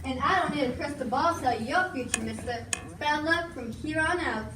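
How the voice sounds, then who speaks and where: Woman as ominous fortune-teller, high voice, melodramatic, echoey stage. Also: brisk, close to an everyday voice. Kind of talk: amateur Western stage play acting. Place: small indoor stage, recorded from audience. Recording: echoey stage, distant mic, noisy.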